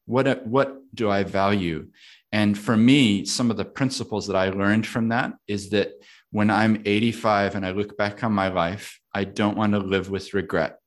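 Man, American accent, low voice, thoughtful, laughs easily, casual conversation. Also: fairly even intonation, moderate pace. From United States. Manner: thoughtful, laughs often, asks himself questions aloud.

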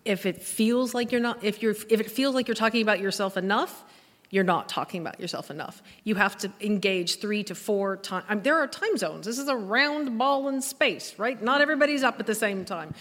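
A woman's voice: medium pitch, normal speed, fairly bright tone. A woman speaking as a guest on a live panel, giving blunt, emphatic advice and repeating her points. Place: live event panel in a hall. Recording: echoey hall with long reverb.

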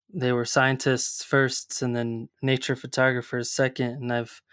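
A podcast host asking questions in casual, halting talk with restarts. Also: medium pitch, clear, resonant tone, no echo, studio quality.

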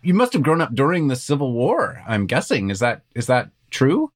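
A man's voice: mid-range voice, wildly swooping pitch, moderate pace. A man hosting an interview podcast, asking curious, reflective questions. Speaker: podcast host.

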